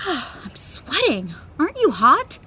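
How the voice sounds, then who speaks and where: A breezy young female best friend with a high, bright voice. She is excitable and slangy, swooping up and down as she playfully gripes, in naturalistic audio drama style. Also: slow, faint music behind, heavily acted. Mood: complaining, playful. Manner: animated, slangy, playfully complaining.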